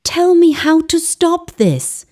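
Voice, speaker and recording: A woman's voice: extremely high pitch, very animated, slow, darkish tone, pitched above the performer's own voice, exaggerated voice. A woman playing a proud, reckless young village beauty in a dramatic folktale. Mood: desperate.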